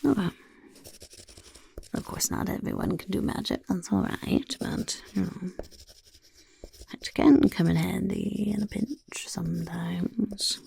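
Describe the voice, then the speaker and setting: Woman whispering as a gravelly goblin recruiter. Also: mid-range voice, slow pace, long pauses, mellow, heavily acted. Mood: curious. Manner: whispery, speaks of himself in third person. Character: scheming male goblin recruiting for a chaos horde. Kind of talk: soft-spoken ASMR roleplay.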